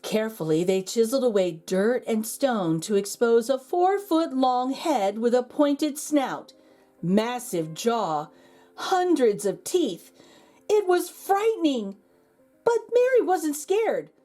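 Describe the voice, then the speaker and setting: A woman reads a children's story aloud in a fairly high, very lively voice. She stresses key words and pauses for drama. Faint music plays behind her. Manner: expressive, dramatic emphasis with pauses and hushed asides. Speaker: read-aloud host and storyteller. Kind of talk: children's picture book read-aloud.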